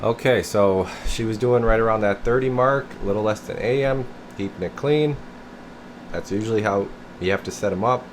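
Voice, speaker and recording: A man's voice: on the low side, moderate pace. A man giving a casual hands-on radio repair demo, thinking aloud informally as he works. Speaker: radio technician demonstrating a tuned-up CB radio. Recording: clear steady background noise, air conditioner hum.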